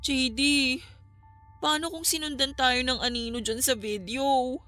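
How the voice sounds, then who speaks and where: Troubled young Filipino woman, slightly high voice, weary, haunted, easily hurt, radio drama. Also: fairly even intonation, measured pace, bright, forceful tone, no echo, faint music behind. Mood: uneasy, earnest.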